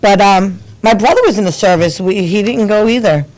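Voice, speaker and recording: A woman's voice: mid-range voice, brisk, a little mellow, rough recording. A woman co-hosting a live web show, answering her partner with dry, scolding retorts. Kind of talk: casual banter on a live stream.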